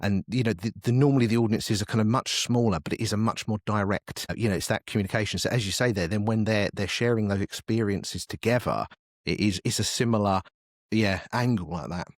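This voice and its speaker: A man's voice: lowish voice, brisk, dry recording, clean studio sound. A man in a reflective podcast conversation, explaining his thoughts at length.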